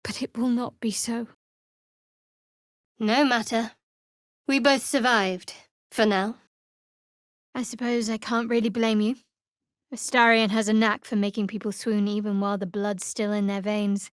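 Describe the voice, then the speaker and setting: A woman voicing a guarded, sardonic young cleric of a dark goddess in a video game, with a dry, measured, clipped delivery. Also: on the high side, little pitch movement, no echo, lightly acted. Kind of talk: video game voice acting, companion dialogue and battle barks.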